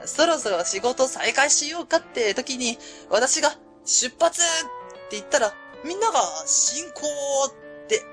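A woman's voice: high voice, animated, measured pace, music in the background. A woman playing a cheerful, welcoming small-factory boss, friendly and polite, in a radio drama.

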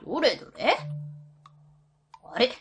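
A woman's voice: on the high side, sing-song, slow pace. A woman playing a brash, mischievous middle-aged woman with an Osaka accent in a radio comedy drama. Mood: cheeky, unrepentant. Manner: boisterous, teasing, pushy.

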